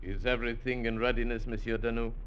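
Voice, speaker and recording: A man's voice: medium pitch, little pitch movement, fast, lightly acted. A man playing a formal, commanding prison commandant with clipped authority in a 1940s radio drama. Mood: stern, commanding. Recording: dull, very noisy vintage radio recording.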